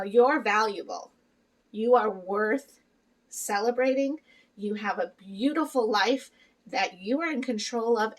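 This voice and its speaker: A woman's voice: mid-range voice, recorded from a distance, low-quality recording. A middle-aged woman from Peru talking casually to her viewers about living with kidney disease, earnest and encouraging, with lots of fillers. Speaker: vlogger sharing life with kidney disease. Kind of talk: casual chat to camera.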